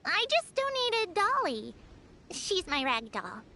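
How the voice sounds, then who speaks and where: A woman's voice: very high voice, huge pitch swings, slow, sharp tone, slight background noise, exaggerated voice. A woman voicing an earnest, innocent little village girl, hopeful and wistful, in an anime game.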